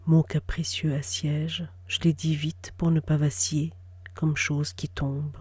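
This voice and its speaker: A woman's voice: low-pitched, level tone, measured pace, a little mellow, slightly rough, no room sound. A woman reciting poetry in an intimate whisper. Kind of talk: whispered spoken-word poetry.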